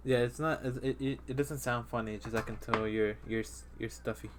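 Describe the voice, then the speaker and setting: A man's voice: mid-range voice, steady tone, brisk, background noise. A man in his thirties hosting a casual podcast chat, rambling with lots of fillers and restarts. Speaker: podcast host.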